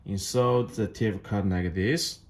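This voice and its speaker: Slow-paced non-native English-speaking man, product tutorial. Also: on the low side. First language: not English. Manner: halting, repeats phrases. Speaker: product demonstrator. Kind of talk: step-by-step tutorial, unscripted.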